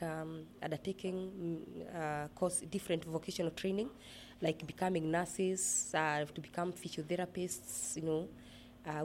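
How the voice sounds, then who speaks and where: A woman with a Kenyan accent explaining her work in an interview, speaking in a measured, earnest way with pauses. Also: low voice, darkish tone, slight background noise. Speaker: interviewee, project manager at a rehabilitation center.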